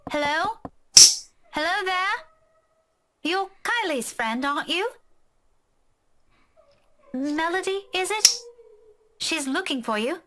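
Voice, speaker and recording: A man voicing a gentle young woman who softly coaxes a child, in a friendly tone. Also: very high-pitched, expressive, slow, pauses often, pitched above the performer's own voice, exaggerated voice. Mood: coaxing. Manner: soft, coaxing, friendly. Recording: clear background noise.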